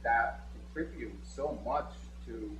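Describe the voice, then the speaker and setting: A man's voice: medium pitch, slow pace, echoey room, background noise. A man speaking as a guest on a panel, hesitant and full of fillers and restarts. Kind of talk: studio panel discussion.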